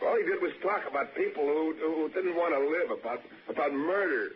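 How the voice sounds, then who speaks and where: An actor playing a nervous, defensive young murder suspect with a hesitant, stammering delivery in a 1960s radio drama. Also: male voice, fairly high pitch, a little fast, natural voice. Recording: dull, faintly echoing, noisy old radio recording.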